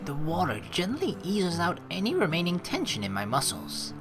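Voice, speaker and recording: A woman hosting a let's play, reading game narration aloud and adding casual, expressive, joking commentary. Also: lowish voice, normal speed. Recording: soft game music behind. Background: game music.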